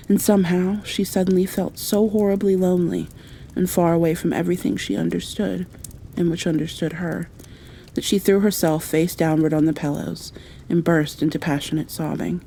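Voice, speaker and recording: A woman narrating a bedtime story aloud in a gentle ASMR style. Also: on the low side, steady tone, normal speed, gravelly, a little hiss or hum.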